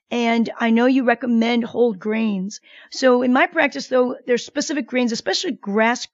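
A woman with a high voice hosts a radio wellness show as a doctor. She speaks in a measured, informative way. The sound is dry and a little muffled. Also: no echo. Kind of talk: radio interview.

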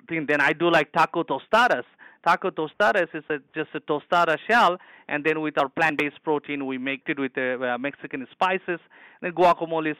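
A man's voice: a little high, sharp tone, smooth, dry recording, rough recording. A man from Bangladesh with a Bangladeshi accent, a hospital chef telling eager, rambling stories in an interview. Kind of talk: interview answers.

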